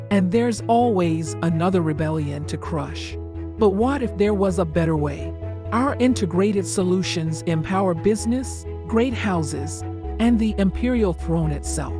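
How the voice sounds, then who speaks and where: Low-pitched corporate spokeswoman, played by a woman. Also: natural voice. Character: polished corporate spokeswoman for a sinister galactic conglomerate. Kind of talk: scripted advertisement voice-over. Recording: music clearly behind the voice. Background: instrumental music.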